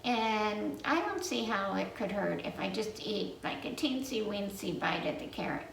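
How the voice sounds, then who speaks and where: A woman's voice: medium pitch, lively intonation, normal speed, gravelly. A woman voicing a hungry young boy ghost in a children's story, pleading and justifying himself. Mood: tempted, self-justifying. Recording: roomy, distant mic with faint noise.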